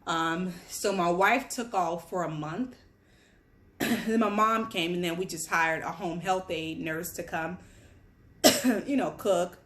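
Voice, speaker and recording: A woman's voice: on the low side, slow pace, a bit bright. A young woman from Guyana, pregnant and on bed rest, telling a candid personal story in a casual chatty way while sitting at a table at home. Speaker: vlogger. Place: home, at a table among boxes.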